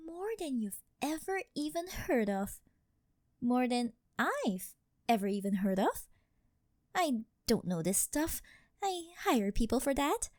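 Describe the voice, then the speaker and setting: A woman's voice: high voice, a little mellow, dry recording, studio quality, full character voice. A woman playing a rich, possessive, doting older girlfriend in an ASMR roleplay, coy, cutesy and teasing as she sweet-talks.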